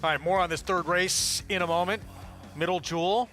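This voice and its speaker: A man hosting a horse racing broadcast, brisk and jokey between race calls. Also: high voice, steady tone, pushed and bright, faint music behind. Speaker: racing show host.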